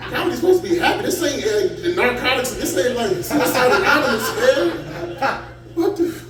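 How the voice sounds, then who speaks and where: A man doing stand-up, ranting in a loud, crude, animated way. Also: very high-pitched, a little fast, heavy reverb, far from the mic. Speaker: stand-up comedian.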